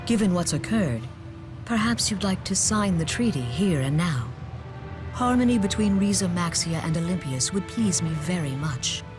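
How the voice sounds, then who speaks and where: An actress voicing a composed, shrewd female chancellor in a video game, formal and measured in her diplomacy. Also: on the low side, lively intonation, close to an everyday voice. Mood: confident, shrewd. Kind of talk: English video game voice acting. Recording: loud game music behind the voice.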